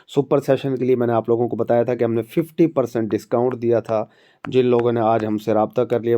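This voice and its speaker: A man's voice: medium pitch, mellow. A man with a Pakistani Urdu accent and a sore throat, giving chatty exam and job updates as an academy instructor. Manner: chatty, conversational, addresses viewers directly.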